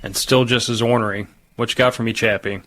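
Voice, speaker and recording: A man playing a gruff, businesslike army major in a dystopian audio drama. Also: mid-range voice, fairly even intonation, fairly quick, a bit bright, lightly acted.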